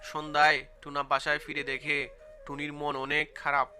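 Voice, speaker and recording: A man's voice: fairly high pitch, monotone, normal speed, sharp tone. A man giving scripted narration for a cartoon bird story. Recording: music behind the voice.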